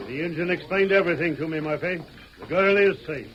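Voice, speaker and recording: Man acting as western lawman, authoritative, businesslike, slightly high voice, muffled old radio recording. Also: a little fast, lightly acted. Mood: calm, reassuring.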